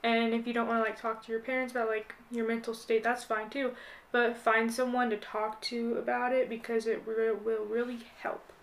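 A woman's voice: on the high side, little pitch movement, normal speed. A young woman chatting casually as a podcast guest, in an informal, conversational way. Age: young adult. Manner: informal, frequent "like". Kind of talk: casual chat.

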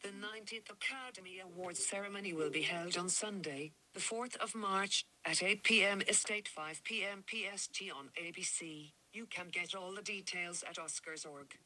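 A woman's synthetic voice as a phone voice assistant, smooth and evenly paced, played through a phone speaker. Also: lowish voice, normal speed, few pauses, sharp tone, a little hiss or hum, low-quality recording. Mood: informative. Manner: evenly paced, neutral announcing. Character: female phone voice assistant, polite and even.

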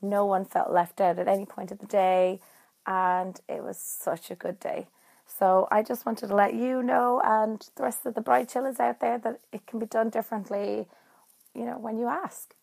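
A woman's voice: mid-range voice, fairly even intonation, normal speed, vocal fry, darkish tone. A woman with an Irish accent, speaking casually as a listener leaving a personal voice message.

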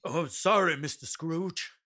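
A man's voice: a little high, measured pace, close to an everyday voice. A man in a radio drama playing a timid, downtrodden clerk, hesitant, anxious and deferential. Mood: meek, anxious. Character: timid, downtrodden male clerk, meek and pleading.

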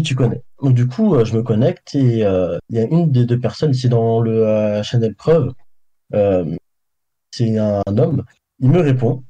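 Halting man, husky dark voice, bad mic. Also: medium pitch. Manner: halting, many fillers. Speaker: caller telling a story. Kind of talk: hesitant casual storytelling.

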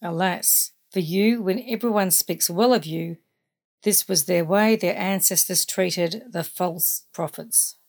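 A woman reading scripture aloud solemnly, with measured, formal phrasing. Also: lowish voice, gravelly, a little mellow. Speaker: scripture reader.

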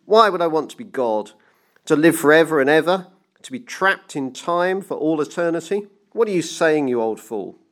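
A man's voice: mid-range voice, normal speed, lightly acted. A man voicing a frenzied, domineering banker in an audiobook story, with a grandiose, barking manner. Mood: furious. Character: frenzied, domineering male banker obsessed with defeating time. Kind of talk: dramatised audiobook reading.

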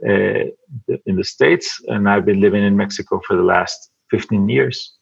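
A man from Venezuela, speaking English as a guest on a podcast, halting and repeating words as he thinks aloud. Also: fairly low pitch, creaky, slightly rough, muffled recording. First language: Spanish. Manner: halting, many repeated words, soft laughs. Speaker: podcast guest, advertising creative. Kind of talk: casual interview chat.

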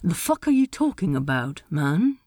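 Woman putting on Ed, a low-voiced blunt pirate man. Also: very animated, moderate pace, darkish tone, no room sound, studio quality. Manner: casual, blunt, low-key. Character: blunt, laid-back male pirate, sincere underneath.

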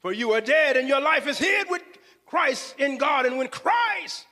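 A man's voice: very high voice, lively intonation, a little slow, sharp tone. A man preaching a fervent sermon with scripture, exclaiming and repeating phrases for emphasis. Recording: echoey hall with long reverb.

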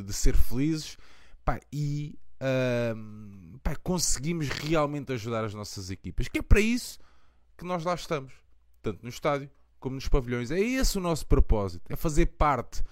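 Passionate Portuguese man, smooth dry voice. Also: mid-range voice, no room sound. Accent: European Portuguese. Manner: passionate, rambling, lots of fillers. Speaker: podcast host of a fan channel. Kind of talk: casual live chat.

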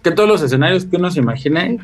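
Playful, higher-voiced Mexican man chatting. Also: lively intonation, very fast, music in the background. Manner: playful, slangy. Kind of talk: casual podcast chat.

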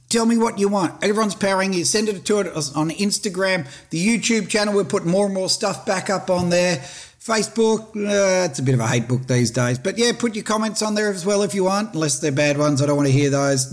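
A middle-aged Australian man hosting a fishing podcast, talking in a blunt, passionate and plain-spoken way. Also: high voice. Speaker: fishing show host. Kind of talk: casual podcast talk.

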